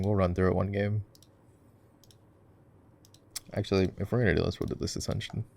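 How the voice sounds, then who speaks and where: A man's voice: on the low side, vocal fry, a little mellow. A man voicing an easygoing gamer boyfriend in a casual, chatty roleplay, rambling playfully and interrupting himself as he explains. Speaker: voice actor, roleplay performer. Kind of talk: casual ASMR roleplay, chatty gaming commentary.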